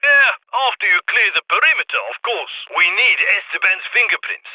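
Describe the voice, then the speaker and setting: An actor playing a businesslike heist crew handler, giving brisk orders over the radio in a video game. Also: male voice, sits high, animated, pushed and bright, husky, no echo, exaggerated voice, rough recording. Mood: calm orders.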